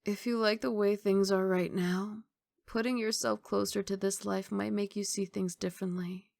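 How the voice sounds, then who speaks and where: A woman playing a guarded but tender mafia boss girlfriend in an intimate ASMR roleplay, speaking softly and in a measured, wistful way. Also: medium pitch, steady tone, creaky, dry recording, close to an everyday voice. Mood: serious, regretful.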